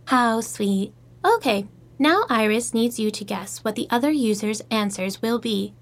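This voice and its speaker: Female AI host, high voice, chirpy, scripted and relentlessly upbeat, audio drama. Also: a little slow. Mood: cheerful, polite. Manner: scripted, relentlessly upbeat, repeats stock phrases. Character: chirpy artificial intelligence matchmaking host, female.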